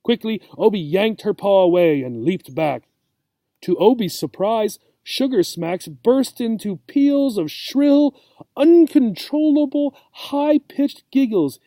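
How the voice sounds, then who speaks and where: A man reading a children's storybook aloud as a teacher, expressive and checking in with listeners. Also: high-pitched, slow, dry recording. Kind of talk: storybook read-aloud for English learners.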